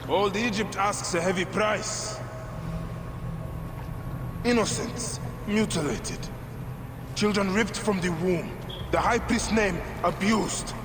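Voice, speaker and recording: An actor playing a solemn, intense ancient Egyptian warrior in a video game, with a dramatic delivery. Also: male voice, sits high, slow, long pauses. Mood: angry. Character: grim avenging ancient Egyptian male warrior protector. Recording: clear noise under the dialogue.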